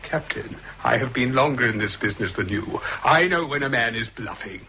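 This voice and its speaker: A man's voice: medium pitch, expressive, normal speed, bright, forceful tone. A man with a British accent playing a suave, scheming, coolly commanding intelligence official in a 1960s radio drama, with a clipped, condescending manner. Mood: indignant. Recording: muffled, hissy 1960s radio recording.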